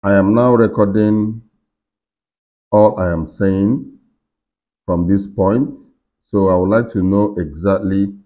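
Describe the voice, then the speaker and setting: A middle-aged man with a deep, low voice talks viewers through software at his desk. He explains step by step, often pausing on fillers and restarting his sentences. The recording sounds slightly dull and lo-fi, picked up by a computer mic. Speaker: tech tutorial host. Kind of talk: screen-recorded software walkthrough. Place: indoor room at a computer desk.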